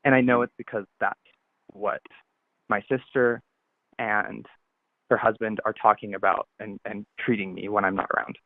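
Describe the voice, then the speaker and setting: A caller with a masculine-sounding voice, speaking haltingly with frequent restarts while confiding on a phone-in. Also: mid-range voice, level tone, no echo. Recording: tinny phone line.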